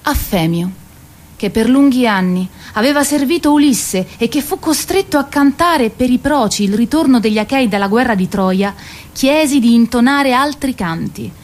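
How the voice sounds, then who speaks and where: A woman's voice: on the high side, darkish tone, close to an everyday voice. An actress playing a proud, weary queen of Greek myth who waits, in a declamatory, ironic radio drama monologue. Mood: sorrowful. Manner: declamatory, ironic, lingering on repeated words.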